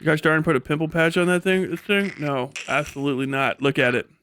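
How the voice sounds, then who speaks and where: A man's voice: a little high, flat delivery, fast, fairly bright tone, smooth. A man in his late thirties hosting a casual livestream, rambling and swearing freely with a self-deprecating manner. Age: late 30s. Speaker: livestream host.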